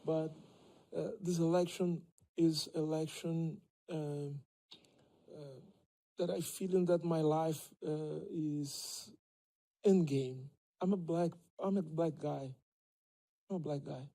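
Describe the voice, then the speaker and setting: A middle-aged man with a Brazilian Portuguese accent, speaking as a panelist at a debate in a lecture hall. He talks haltingly, repeats words and keeps checking that listeners understand. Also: a little high, fairly even intonation, mellow. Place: lecture hall stage, seated at a table. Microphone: table microphone.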